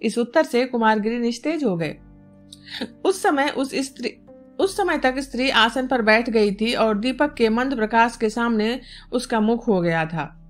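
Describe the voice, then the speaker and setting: A woman reads a literary novel as an audiobook narrator, in measured, formal diction. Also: fairly high pitch, expressive. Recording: music bed behind the voice. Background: instrumental music.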